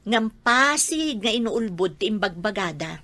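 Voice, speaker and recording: An actress with an Ilocano accent playing a stubborn, heartbroken young daughter in a radio drama, speaking firmly and emotively. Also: female voice, mid-range voice, lively intonation, a little slow, bright, forceful tone. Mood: firm, resentful.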